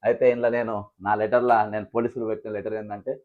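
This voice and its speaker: A man's voice: lowish voice, little pitch movement, quick pace. A man with a Telangana Telugu accent giving political commentary to viewers as an activist host, critically listing grievances point by point. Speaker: political activist hosting his own channel. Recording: narrow, thin, phone-like sound.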